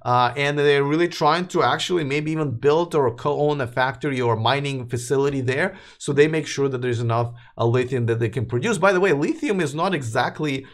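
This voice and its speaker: Tired man hosting a livestream, mid-pitched voice, loose and rambling, heavy on fillers. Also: a little fast, few pauses. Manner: rambling, many fillers and restarts. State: tired, voice wearing out. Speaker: host of an electric car news livestream. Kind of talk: casual live chat, reading viewer comments.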